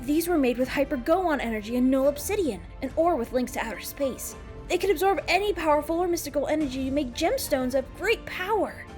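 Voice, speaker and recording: Woman voicing a high-pitched, earnest young cartoon inventor. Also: animated, a little fast, a little mellow, soft background music. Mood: amazed, explaining. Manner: eager, expository, earnest. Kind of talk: animated fan-dub dialogue.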